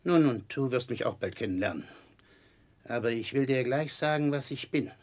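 An actor playing a menacing, self-important headmaster in a 1960s German radio drama, domineering and threatening in manner. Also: male voice, mid-range voice, animated, moderate pace, darkish tone, close to an everyday voice.

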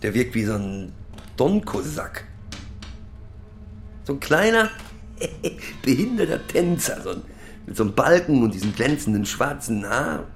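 An actor playing a bitter pub regular in a radio drama, ranting in halting, repetitive colloquial speech. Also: male voice, medium pitch, big pitch swings, gravelly, soft background music. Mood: disgusted ranting. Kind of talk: naturalistic radio drama monologue.

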